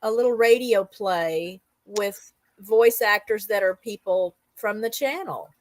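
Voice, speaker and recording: A woman's voice: medium pitch, unhurried, no room sound, low-quality recording. A woman hosting a casual interview, chatty and enthusiastic as she puts questions to her guest. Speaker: interviewer, host.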